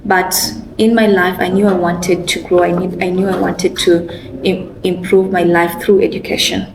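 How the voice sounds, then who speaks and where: A young Kenyan woman speaks in a measured, earnest way, restarting her sentences as she thinks. Her voice sits a little low and comes from some distance off the mic in an echoey room. A steady background noise runs under her. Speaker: interview guest. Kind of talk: casual interview.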